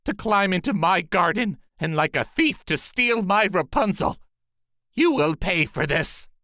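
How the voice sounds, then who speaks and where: A man's voice: high voice, animated, a little slow, pushed and bright, no room sound, clean studio sound, voice pushed up, exaggerated voice. A man voicing an angry, commanding sorceress in a fairy tale storybook reading, imperious and threatening. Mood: angry, menacing. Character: angry, menacing, powerful female sorceress, commanding and bargaining.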